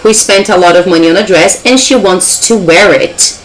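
A woman's voice: mid-range voice, normal speed, rough recording. A young adult woman with a Brazilian accent giving an informal, instructive English lesson, carefully enunciating examples. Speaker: English teacher.

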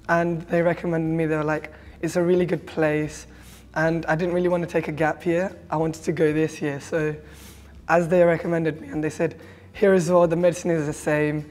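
A young man from the UK speaks in a flat, even tone, plain and a little hesitant. A long reverb trails after his words. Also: on the high side. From United Kingdom. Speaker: medical student. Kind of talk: interview answers.

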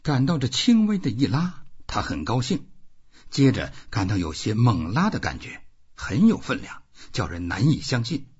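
A man with a husky voice reading literary fiction as an audiobook, with dramatic, emphatic phrasing. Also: mid-range voice, big pitch swings, moderate pace, highs cut off. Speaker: audiobook narrator. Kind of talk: expressive scripted literary narration.

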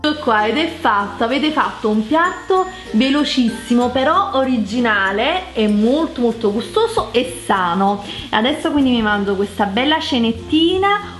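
A chatty, enthusiastic woman in her thirties talks through a recipe in her home kitchen, her voice sitting a little high. The kitchen gives the voice a roomy echo, and music plays loudly behind her. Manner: chatty and enthusiastic, repeats words for emphasis. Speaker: cooking channel host. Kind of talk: casual cooking vlog.

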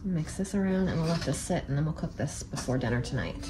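A woman's voice: deep voice, expressive, fast talker, over music. Chatty woman vlogging in her kitchen. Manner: chatty, talks while cooking, thinking aloud. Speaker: homemaking vlogger. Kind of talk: casual kitchen vlog narration. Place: home kitchen, indoors. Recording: noisy kitchen with clicking sounds.

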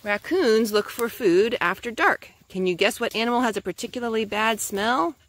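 Higher-voiced mom reading aloud, noisy recording. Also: female voice, moderate pace. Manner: sing-song, child-directed. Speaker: mother reading a storybook to her child. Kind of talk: informal read-aloud and chat with a young child. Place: outdoors in a forest. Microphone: handheld camera held by the speaker. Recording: clearly noisy outdoor handheld recording.

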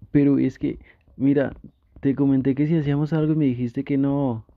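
Slow, creaky-voiced Mexican boyfriend, acted by a man. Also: mid-range voice, level tone, no echo, phone-quality sound, close to an everyday voice. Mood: flustered, pleading. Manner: halting, coaxing, conversational. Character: flustered, easily tempted gamer boyfriend, playfully pleading. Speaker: ASMR roleplay creator. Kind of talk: intimate boyfriend roleplay.

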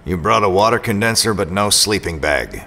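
An actor playing a suspicious, prickly loner robot pilot in an audio drama, talking in a sardonic, accusing way. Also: male voice, sits low, lively intonation, brisk, bright, forceful tone, exaggerated voice. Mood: grumpy, sardonic.

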